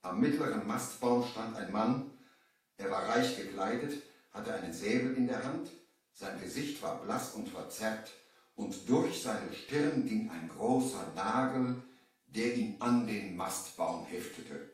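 An actor playing a young merchant who recounts his sea adventure with measured drama in a children's radio play. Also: on the low side, expressive, distant mic. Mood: grave suspense. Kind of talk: 1970s German children's radio play narration. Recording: roomy, echoing old vinyl radio play.

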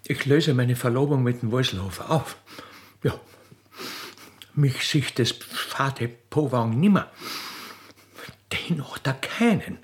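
A man puts on a plump Austrian widow for a radio play, greedy and flirtatious. She sighs and gushes in a husky, lively voice. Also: medium pitch, slow, pauses often, pitched above the performer's own voice. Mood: dramatic sighing. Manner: sighing, gushing.

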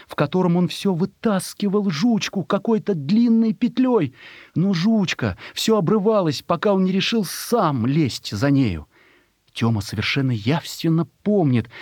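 A man's voice: on the high side, a little mellow, breathy edge, dry recording, studio quality. A man reading a literary story as a narrator, expressively and with emotion, in a scripted dramatic style.

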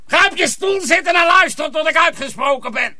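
An actor playing a domineering, menacing master sorcerer in a radio drama, commanding and threatening. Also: male voice, extremely high pitch, brisk, bright, forceful tone, no echo, clean studio sound, exaggerated voice. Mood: commanding, angry.

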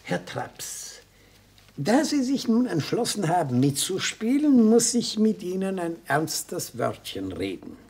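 An actor playing an elderly, genial and fussy retired defence lawyer in a German radio play, speaking in a courteous, expansive manner. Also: male voice, high-pitched, sing-song, slow, darkish tone, breathy edge, heavily acted. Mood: confiding. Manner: courteous, savouring, expansive, admonishing.

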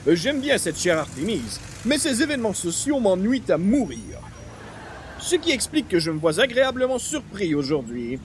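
A man's voice: high voice, very animated, moderate pace, a little hiss or hum. A man voicing a pompous, blasé talking cat with flowery, formal diction, in radio comedy style. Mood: smugly delighted. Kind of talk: old-time radio comedy acting.

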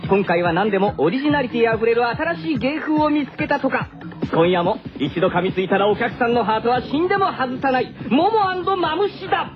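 A performer playing an excited announcer who introduces acts on a radio comedy gong show. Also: mid-range voice, fairly quick, lightly acted. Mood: hyped. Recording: dull radio recording. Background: music.

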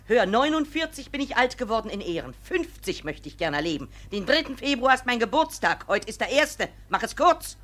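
Female, forties village matron, radio play. Highish, lively pitch, scolding, blunt and forceful. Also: a little fast, few pauses. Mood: stern, impatient. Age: 40s. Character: scolding, impatient village mother of forty-nine. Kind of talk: 1950s German radio play acting.

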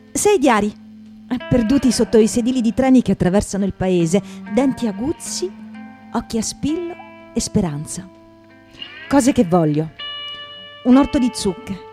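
Warm-toned woman reciting poetry, measured phrases. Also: on the high side. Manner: measured, in short separated phrases. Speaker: radio theatre performer. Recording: voice over acoustic guitar music. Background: acoustic guitar.

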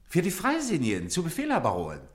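High-pitched, servile office clerk. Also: male voice, huge pitch swings. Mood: servile, dutiful. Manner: deferential, halting, formal. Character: servile, dutiful, hesitant male clerk reporting to superior. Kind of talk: German radio play acting.

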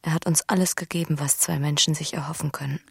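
An actress playing an embittered, menacing lover in a German radio drama, with a breathy, husky half-whisper and hushed intensity. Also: female voice, deep voice, steady tone, fast, no room sound, clean studio sound, exaggerated voice. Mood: rueful. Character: embittered, menacing female lover, wounded and cold.

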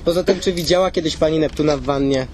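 A man's voice: on the high side, fast, darkish tone. A man with a Polish accent doing playful vox-pop interviews on the street as a co-host, mock-serious in manner. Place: outdoors on a city street. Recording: noisy outdoor street ambience.

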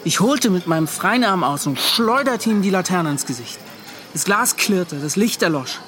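An actor playing a tormented, defensive ship's officer, recounting in an agitated, pleading and halting way in a radio drama. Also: male voice, sits high, expressive, over music. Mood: urgent recounting. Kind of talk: radio drama acting, confessional monologue.

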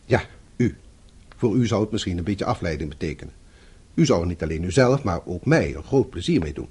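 An actor playing a courteous, persuasive broadcast journalist in a Dutch radio drama, with a polite, coaxing way of talking. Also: male voice, low voice, expressive, fairly quick, a little mellow, husky, exaggerated voice.

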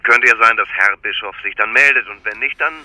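A man's voice: fairly low pitch, brisk, pushed and bright, slight background noise, poor audio. A man playing a middle-aged police chief inspector in a radio drama, officious and condescending with a stammer, through a telephone filter. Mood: dismissive, flustered. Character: brusque, dismissive, bumbling male police chief inspector. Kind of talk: naturalistic radio drama acting.

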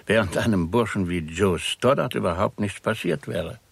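A grave, low-voiced doctor. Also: male voice, vocal fry, breathy edge, no room sound. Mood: resigned, reflective. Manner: measured, formal, careful phrasing. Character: grave, cautious male doctor overseeing a risky experiment. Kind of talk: 1960s German radio play acting.

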